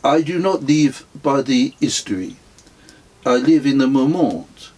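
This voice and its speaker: A man plays a self-satisfied wandering holy man in a storybook reading. His English is halting and foreign, delivered as earnest pronouncements, lifted well above a low natural voice with a slightly husky grain. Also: animated, a little mellow, poor audio. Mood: serenely self-satisfied.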